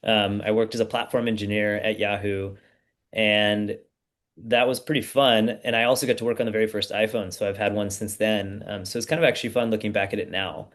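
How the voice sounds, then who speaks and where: A man in his thirties from the United States, a startup founder guest telling his story in a relaxed, casual way with plenty of fillers. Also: on the low side, brisk. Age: 30s. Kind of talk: casual podcast interview.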